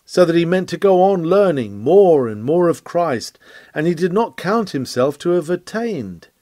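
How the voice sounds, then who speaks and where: Male sermon reader, earnest exhorting oratory, lively intonation, dry close sound. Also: on the high side, unhurried, no room sound. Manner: earnest, pleading, emphatic oratory.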